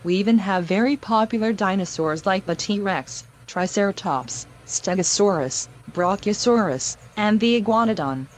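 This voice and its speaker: A woman voicing a zoo builder who gives a formal, scripted promotional pitch in a mock news interview. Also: normal speed, hardly any pauses, close to an everyday voice.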